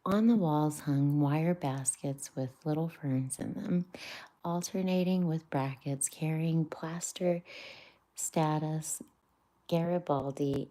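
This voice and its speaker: A woman streamer reading a classic children's book aloud in a soft, half-whispered voice, stumbling now and then over words. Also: low-pitched. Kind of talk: live-streamed storybook reading.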